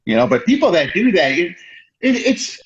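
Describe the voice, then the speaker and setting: A man, a guest in a casual chat, who talks haltingly with many restarts and "you know"s. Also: high voice, big pitch swings. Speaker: podcast guest. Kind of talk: casual conversation. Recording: dull lo-fi sound.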